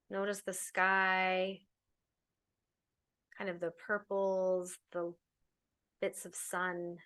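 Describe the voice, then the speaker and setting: A woman leading a guided meditation as a teacher, giving soothing, unhurried instructions with pauses. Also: lowish voice, fairly bright tone.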